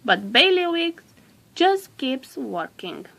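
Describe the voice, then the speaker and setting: A woman narrating a children's storybook aloud in a deliberate way, drawing out some words. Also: high voice, big pitch swings, creaky, fairly bright tone, a little hiss or hum.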